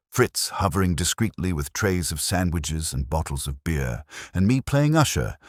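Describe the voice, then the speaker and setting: A man's voice: a bass voice, no room sound, studio quality. A man reading a scripted detective story as an audiobook narrator.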